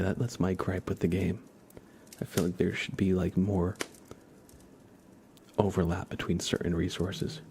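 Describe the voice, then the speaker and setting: A man hosting an ASMR livestream, chatting softly and casually in a rambling way, often slipping into a whisper. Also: deep voice, slight background noise. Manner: casual, rambling, hesitant with frequent "like". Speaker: livestream host. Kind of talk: soft-spoken ASMR livestream, casual chat.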